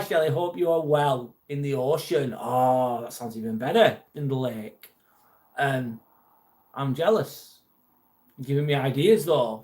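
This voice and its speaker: A man with an English accent hosts a live stream, reading viewers' comments aloud in a loose, chatty way. His voice sits a touch higher than most men's. He jokes along, with a mild edge of irritation when talking about a troll. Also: a little mellow. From England.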